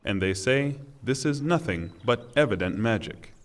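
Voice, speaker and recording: A man with a medium-pitched voice reads translated scripture formally. His delivery is solemn and deliberate, and a long reverb trails after his words. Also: expressive. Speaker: reader of Quran translation.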